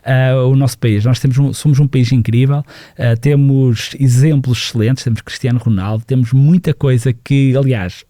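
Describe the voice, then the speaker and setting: A man's voice: mid-range voice, fairly even intonation, normal speed, warm tone, no echo, clean studio sound. A man from Portugal with a European Portuguese accent, hosting a business podcast in a chatty, personal way with many fillers. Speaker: podcast host.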